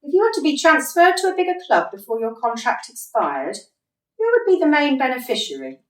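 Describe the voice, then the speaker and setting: A woman actor plays a blunt female football manager in a radio play. Her pitch is fairly high and swings widely as she scolds with exasperation. She sounds a little away from the microphone, in a roomy space. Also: sing-song, far from the mic. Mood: firm, persuasive. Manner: emphatic, scolding, deliberate. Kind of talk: radio drama acting.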